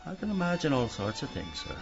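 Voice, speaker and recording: An actor playing a guarded, dry-witted career criminal in a radio mystery, speaking tersely. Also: male voice, fairly high pitch, very animated, fast, creaky. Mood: wary. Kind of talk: radio mystery drama acting. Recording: dull band-limited audio with music.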